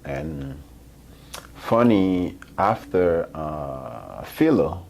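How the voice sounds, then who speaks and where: A man with a Haitian accent speaks as a physician guest. His voice is very deep and his pace is slow. He pauses often and fills the gaps with ums. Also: very animated, creaky, darkish tone, slight background noise. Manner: hesitant, many ums, halting. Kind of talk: hesitant interview answers.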